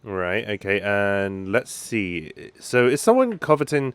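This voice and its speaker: A woman's voice: very deep voice, very animated, takes it slowly, clear, resonant tone, dry recording. A woman hosting a gaming video, giving casual running commentary with murmured reactions and asides. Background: faint game music.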